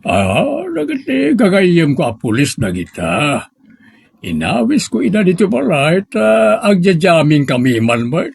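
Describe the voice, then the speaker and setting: A man's voice: sits high, huge pitch swings, a little slow, vocal fry, darkish tone, slightly rough, no echo, exaggerated voice. A man playing a stern, guarded middle-aged father of a bride in an audio drama. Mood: guarded, casual. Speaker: drama actor. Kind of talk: Ilocano audio drama acting.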